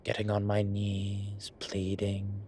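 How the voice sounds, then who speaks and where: A man playing a flirtatious, cheeky incubus demon in an audio roleplay, talking in a teasing, suggestive way with drawn-out pauses. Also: on the low side, monotone, mellow, slight background noise. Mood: playful pleading. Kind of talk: audio roleplay acting, one-sided conversation.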